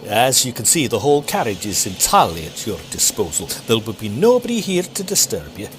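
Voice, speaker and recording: Actor as an animated, deferential Victorian train conductor. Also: male voice, fairly low pitch, soft background music. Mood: courteous. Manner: formal, drawn-out servile courtesy. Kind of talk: period audio drama.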